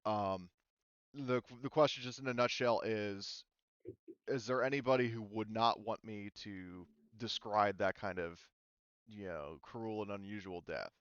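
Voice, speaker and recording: Man, game master, resonant voice, casual halting rule talk, close dry sound, slightly lo-fi. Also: on the low side, fairly bright tone, smooth, no echo. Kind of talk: casual explanation of tabletop game rules.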